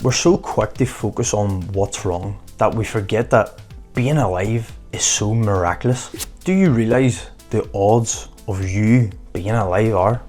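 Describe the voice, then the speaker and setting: A man's voice: fairly low pitch, unhurried, a little mellow, faint music behind. A man giving an earnest motivational talk to camera in short, emphatic phrases. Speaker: motivational vlogger.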